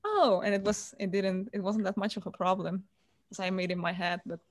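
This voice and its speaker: A woman's voice: mid-range voice, a little fast, slight background noise. Woman guest on a video call. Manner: chatty, hesitant restarts, warm about family. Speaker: guitarist guest. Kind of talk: casual interview over video call. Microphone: computer on video call.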